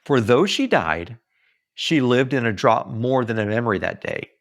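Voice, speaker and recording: Expressive man reading with widely varied pitch. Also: normal speed. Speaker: author reading own book aloud. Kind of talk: expressive audiobook-style reading.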